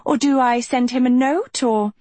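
A woman's voice: on the high side, lively intonation, unhurried, husky, no room sound, voice pushed up. A woman voicing a prim, indignant, princess-like teenage girl in a storybook, prissy and insistently polite. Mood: pressing politely.

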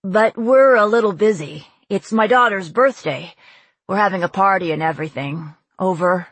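A woman playing a tense, gruff male ship captain in an audiobook, with loud, clipped delivery as if calling over a radio. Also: mid-range voice, moderate pace, dry recording, natural voice. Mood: forced friendliness.

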